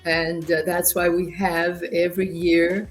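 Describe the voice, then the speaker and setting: A woman's voice: on the low side, flat delivery, a little slow, soft background music. A woman from rural Ontario near Quebec, a native French speaker, speaking English as an interview guest and explaining things conversationally, often repeating words. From rural Ontario near Quebec, Canada. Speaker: interview guest, human rights youth organisation director. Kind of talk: conversational personal storytelling in an interview.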